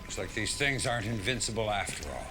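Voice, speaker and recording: An actor playing a gruff, resolute general in a video game cutscene, speaking bluntly with grave command. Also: male voice, fairly low pitch, animated, brisk, over music, poor audio. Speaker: military general.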